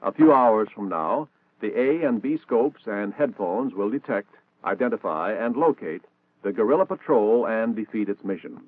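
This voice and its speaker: A man's voice: on the low side, expressive, normal speed. An American man narrating a scripted 1960s military training film in a formal, precise, instructional manner. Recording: dated narrow-band 1960s film soundtrack.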